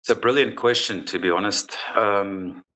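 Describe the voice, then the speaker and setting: A man's voice: fairly low pitch, moderate pace, sharp tone, poor audio. A man in his forties from South Africa, a football coach answering interview questions in an earnest, frank way with many restarts.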